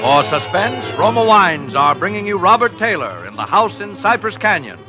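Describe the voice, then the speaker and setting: A man's voice: sits high, big pitch swings, moderate pace. An American man giving a dramatic introduction as a 1940s radio program announcer. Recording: vintage radio recording with music. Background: music.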